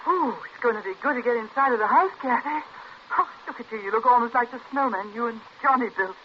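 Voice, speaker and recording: A woman's voice: a little high, fast talker, close to an everyday voice. An actress playing a tender but firm, anxious woman looking after a child in a 1950s radio drama. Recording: muffled vintage radio broadcast with hiss.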